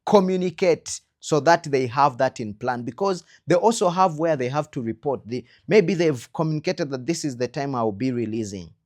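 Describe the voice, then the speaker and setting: A man with a Ugandan accent and a medium-pitched voice gives an instructional talk. He advises earnestly, puts questions to the listener and pauses to stress key points. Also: lively intonation, darkish tone. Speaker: trainer in creative production quality control.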